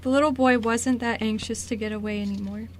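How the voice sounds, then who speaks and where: High-voiced woman narrating a story. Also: steady tone, brisk. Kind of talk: scripted story narration for amateur radio drama. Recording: noisy amateur radio recording.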